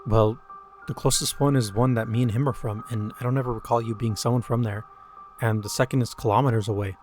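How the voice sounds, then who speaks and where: A man's voice: mid-range voice, steady tone, fast talker, a little mellow, soft background music, natural voice. A man voicing a drunk, guarded village friend with a stammering, loose delivery in a told story. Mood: probing. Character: drunk village friend, wary male companion with rifle.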